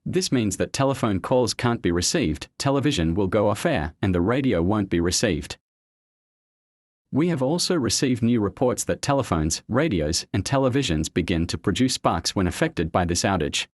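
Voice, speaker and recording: A man's voice: on the low side, animated, moderate pace, no echo, studio quality. A man reading a scripted emergency announcement as a television continuity announcer.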